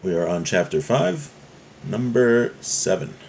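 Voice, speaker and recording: A man's voice: on the low side, big pitch swings, a little slow, vocal fry, darkish tone, slight background noise. Guy giving a casual torah law class, reads a line then explains it, keeps checking you follow. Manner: explanatory, often checking with "right?". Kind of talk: informal religious class, reading Hebrew text and explaining it.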